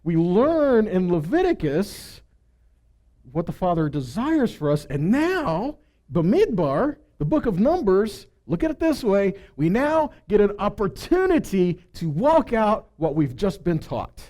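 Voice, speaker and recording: Animated, high-pitched man preaching. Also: unhurried. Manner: animated, rhetorical questions and check-in tags like "right?". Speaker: preacher teaching a congregation. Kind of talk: conversational sermon teaching.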